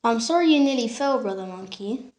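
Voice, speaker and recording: A man's voice: very high-pitched, expressive, a little fast, reverberant, pitched above the performer's own voice. A man voicing a small, earnest male squirrel who talks politely and pleads to be allowed to help.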